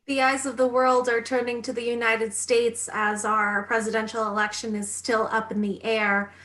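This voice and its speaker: A woman hosts an interview in a polished, upbeat way. Her voice is bright and slightly high. She speaks like a practiced presenter. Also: level tone, normal speed. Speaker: host. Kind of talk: interview hosting.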